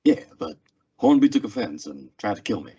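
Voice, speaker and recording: A man playing a stoic, plainspoken Old West lawman in a radio western, terse, restrained and polite, with a husky voice. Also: lowish voice, sing-song, fairly quick, warm tone, exaggerated voice. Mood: grim, matter-of-fact. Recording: dull lo-fi radio drama recording.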